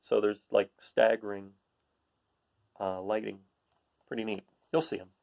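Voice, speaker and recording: A man's voice: lowish voice, flat delivery, unhurried, vocal fry, dull audio. A man hosting a read-aloud, reading a children's picture book and adding casual, explanatory asides for young listeners.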